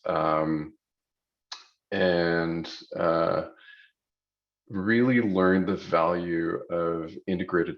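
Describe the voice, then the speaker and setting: Slow, deep-voiced Flemish man on a call. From Belgium. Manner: deliberate, pausing often. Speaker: panelist, utility program manager. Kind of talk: panel self-introduction. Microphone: video call. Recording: dull lo-fi video call audio.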